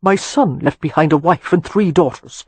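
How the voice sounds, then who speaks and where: An old Anglo-Irish earl, acted by a male audiobook narrator, proud and shrewd. His husky voice is dry and aristocratic, and he speaks as one used to being obeyed. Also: medium pitch, moderate pace, a little mellow, dry recording. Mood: demanding, dry. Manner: aristocratic, graceful, dry, commanding. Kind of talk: audiobook character dialogue.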